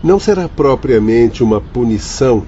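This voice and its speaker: A Brazilian man acting in a radio drama as a sober, kindly spirit counsellor who speaks deliberately and formally to his pupils. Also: medium pitch, expressive, dark tone, slight background noise, close to an everyday voice. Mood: sober, admonishing. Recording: dull audio with faint music.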